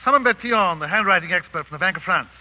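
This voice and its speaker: An actor in a 1930s radio drama playing a brisk, forceful French army officer with an official, barking manner. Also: male voice, high voice, expressive. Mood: brisk, commanding. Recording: muffled 1930s radio recording.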